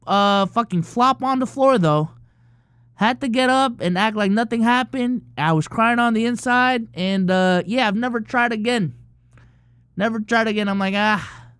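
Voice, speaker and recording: A young man in his early twenties, originally from the Philippines, rambles through viewer questions on his stream. His voice sits a little high for a man, and he keeps dropping casual swears and tags like "bro" and "man". The sound is close and dry, with no room around it. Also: smooth, no echo. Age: early 20s. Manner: loose and profane, full of fillers and "bro" and "man". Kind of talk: casual livestream chat answering viewer questions.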